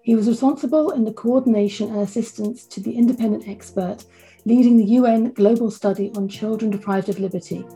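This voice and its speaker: A woman's voice: mid-range voice, dark tone, poor audio. A woman hosting a podcast, introducing her guest and asking interview questions. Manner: reads a scripted guest introduction. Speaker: podcast host.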